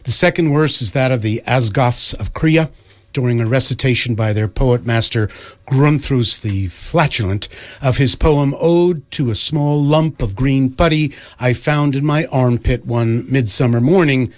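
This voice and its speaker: A man's voice: medium pitch, measured pace, close to an everyday voice. A man playing a dry, mock-solemn narrator in a scripted radio play. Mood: dry, deadpan. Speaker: narrator and announcer.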